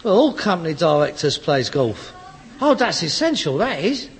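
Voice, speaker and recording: A man's voice: fairly high pitch, very animated, normal speed, breathy edge, heavily acted. An actor playing a frustrated, pretentious rag-and-bone man of about forty with a working-class London accent, exasperated, grandiose and sarcastic in a sitcom. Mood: pompous. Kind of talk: scripted sitcom acting. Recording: reverberant hall, old sitcom recording.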